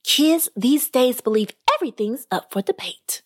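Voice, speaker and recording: A chatty older grandmother shares a knowing remark, as a woman reading a story puts her on. Her voice is fairly high. The sound is close and dry. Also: lively intonation, moderate pace, dry recording.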